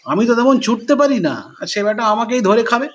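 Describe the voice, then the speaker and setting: A man's voice: very high voice, sing-song, fairly quick, pitched above the performer's own voice. A man voicing a timid, anxious fox, nephew to a tiger, in a fearful, pleading manner.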